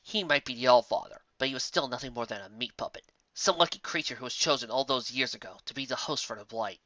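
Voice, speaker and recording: A man's voice: mid-range voice, fast, sharp tone, no room sound, dull audio. A man reading a fantasy story aloud as a dramatic, theatrical and emphatic narrator. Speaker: narrator of fan fiction audiobook.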